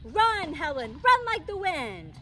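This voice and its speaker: A woman plays an excitable little storybook girl, stubborn and easily scared. The voice is very high and swoops around with emphatic, childlike pleading. It is recorded outdoors with clear noise. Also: slow, heavily acted. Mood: panicked. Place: outdoors in a park.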